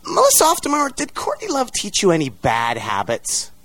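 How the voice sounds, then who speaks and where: A man's voice: high-pitched, huge pitch swings, normal speed, fairly bright tone, no echo. A man from Canada's West Coast hosting an interview, teasing and playful with his guest. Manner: teasing, playful, keeps saying the guest's full name. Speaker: interviewer, host.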